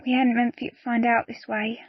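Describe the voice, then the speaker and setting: A woman's voice: fairly high pitch, flat delivery, a little fast, slightly rough, no echo, lo-fi sound. An actress playing a protective, level-headed young pirate woman in an audio drama, firm and concerned. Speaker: actor in an audio play. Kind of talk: theatrical audio drama dialogue.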